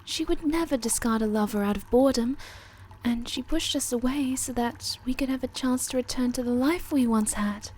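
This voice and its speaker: A woman's voice: high voice, normal speed, a little mellow, a little hiss or hum, close to an everyday voice, low-quality recording. A woman playing a timid but loyal moth girl in an ASMR roleplay, earnest and hesitant, with a pleading, stammering delivery.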